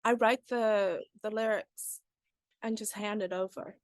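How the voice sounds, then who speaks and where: A woman from Vietnam, a writer and panel guest, speaking thoughtfully and haltingly in a conversational discussion. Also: medium pitch, fairly even intonation, no room sound, clean studio sound.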